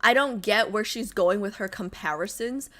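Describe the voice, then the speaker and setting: A woman's voice: medium pitch, normal speed, pushed and bright. A young adult woman streamer chatting casually with her viewers, bubbly and full of fillers. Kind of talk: casual chat with live chat.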